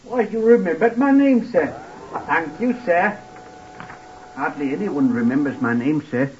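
A man's voice: on the high side, expressive, measured pace, a little hiss or hum. A man playing a simple, overly polite young hotel lift boy from Blackpool who keeps calling people sir, in 1940s radio acting style. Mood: eager. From Blackpool, Lancashire. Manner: overly polite, says sir constantly, apologetic. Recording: lo-fi vintage radio recording.

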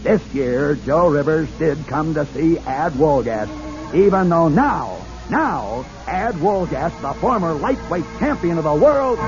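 A man's voice: on the high side, lively intonation, unhurried. A man hosting a radio show, narrating strange true stories in a theatrical, emphatic showman manner. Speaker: radio host. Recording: muffled vintage radio with music.

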